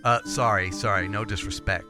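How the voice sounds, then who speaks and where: A man's voice: on the low side, fairly even intonation, normal speed, music in the background, close to an everyday voice. A man playing a chummy, long-winded robot doctor in a comic audio drama, hesitant and fond of tall tales.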